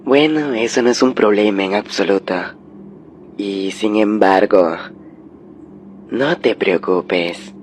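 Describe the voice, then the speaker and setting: Man acting a slow, low-voiced friendly puppet character. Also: close to an everyday voice. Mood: calm, reassuring. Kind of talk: scripted character monologue in Spanish. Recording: clearly noisy recording.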